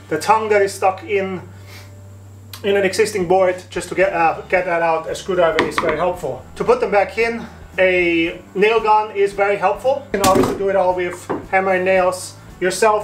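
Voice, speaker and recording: High-voiced man explaining floor repair. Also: normal speed, noisy background. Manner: relaxed explaining with frequent fillers and restarts. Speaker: home renovation host. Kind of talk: casual how-to tutorial. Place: large empty wooden attic room indoors.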